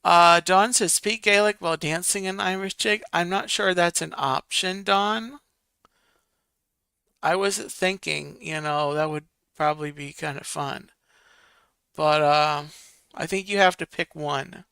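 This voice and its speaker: A man's voice: high-pitched, a little slow, creaky, sharp tone, clean tone, no echo, clean studio sound. A man hosting a casual live stream, reading viewer comments and counting votes aloud while muttering to himself.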